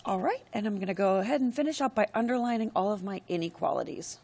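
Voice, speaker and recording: Hoarse woman teaching a math lesson. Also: medium pitch, fast talker. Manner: steady, step-by-step explaining with frequent "all right, go ahead". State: losing her voice, hoarse. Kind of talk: screen-recorded lesson.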